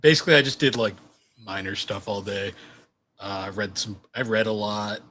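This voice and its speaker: A young man with a low voice, co-hosting a podcast in casual chat, laid-back and chuckling through his stories. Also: fairly even intonation, normal speed, bright, forceful tone, a little hiss or hum. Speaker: podcast co-host.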